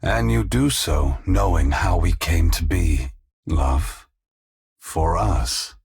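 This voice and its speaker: An actor playing an ancient, solemn, gentle and remorseful male god in a video game, speaking in a slow, measured, philosophical way with a deep, gravelly, husky voice. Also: exaggerated voice. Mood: grave, calm. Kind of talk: scripted video game character dialogue.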